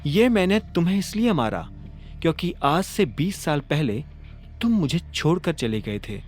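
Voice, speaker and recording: A man voicing a strict, haughty young woman in an audio drama, with curt, deliberate delivery. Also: on the high side, sing-song, faint music behind. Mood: firm, emotional.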